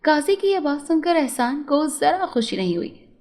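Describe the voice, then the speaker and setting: A woman's voice: high voice, big pitch swings, normal speed, darkish tone. A woman storyteller narrating a scripted moral tale.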